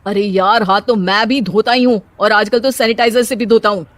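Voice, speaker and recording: A woman's voice: medium pitch, fairly even intonation, fairly quick, no room sound, natural voice. A woman voicing a sarcastic, joking young husband in a cartoon story. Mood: teasing. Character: wry, teasing young husband in a cartoon family. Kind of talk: animated cartoon story dialogue.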